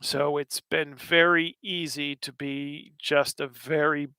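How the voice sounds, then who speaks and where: A man's voice: on the high side, little pitch movement, takes it slowly, bright, forceful tone, smooth, no echo. An American man in his thirties hosting a casual livestream, answering chat questions in a rambling, wry way with lots of fillers. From United States.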